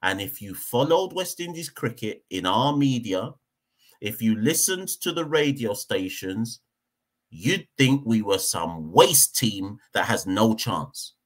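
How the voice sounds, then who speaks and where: Emphatic man, lively low voice. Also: slow. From Jamaica. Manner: emphatic, repeats points for stress. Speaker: cricket podcast host. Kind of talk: heated opinion commentary.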